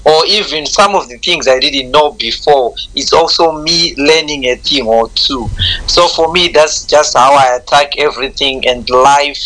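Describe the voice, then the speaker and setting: Bright-voiced Zimbabwean man, earnest guest. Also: a little high, a little slow, low-quality recording. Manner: reflective, earnest, repeats words when starting. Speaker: guest, content creator. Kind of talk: interview answers.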